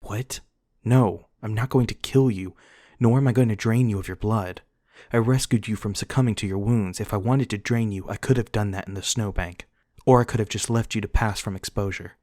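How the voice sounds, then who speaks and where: A man playing a reclusive, protective vampire lord in an ASMR roleplay, speaking formally and courteously in measured words. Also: lowish voice, dark tone, no room sound, studio quality, close to an everyday voice. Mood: urgent, pleading. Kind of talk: ASMR roleplay acting, addressing the listener.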